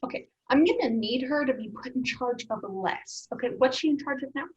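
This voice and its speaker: A woman's voice: sits high, lively intonation, a little fast. An actress playing a bossy, sardonic young woman leading her friends, in a dry screenplay table-read delivery. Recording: slightly dull remote call audio.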